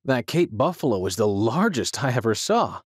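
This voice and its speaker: An actor in an audio drama playing an earnest, curious big-game hunter, speaking politely and directly as a guest. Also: male voice, medium pitch, sing-song, moderate pace, husky, dry recording, clean studio sound. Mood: polite, admiring.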